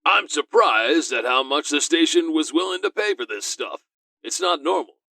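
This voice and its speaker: Man acting sharp, animated spaceship crewman. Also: fairly high pitch, moderate pace, creaky, no room sound, heavily acted. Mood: uneasy, suspicious. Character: gritty male cargo-ship crewman, wary and brisk. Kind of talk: audio drama dialogue.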